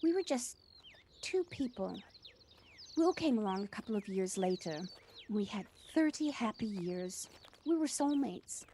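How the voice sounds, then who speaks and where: A woman's voice: mid-range voice, expressive, measured pace, dark tone. An actress playing a proud, devoted widowed mother who runs a cafe, speaking earnestly and reflectively in an audio play. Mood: wistful, proud. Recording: noisy home recording on personal device.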